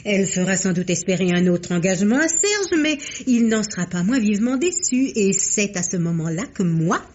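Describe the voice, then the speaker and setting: Actress voicing a gossipy scheming heiress, lively up-and-down voice, giggling, old radio play. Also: female voice, medium pitch, moderate pace, natural voice. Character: scheming, chatty woman couture house heiress. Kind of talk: 1950s French radio drama acting.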